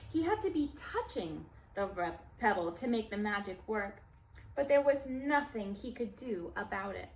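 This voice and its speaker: A woman storyteller reading aloud to young children in a deliberate, emphatic way, with simple explanatory asides. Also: medium pitch, sing-song, slight background noise.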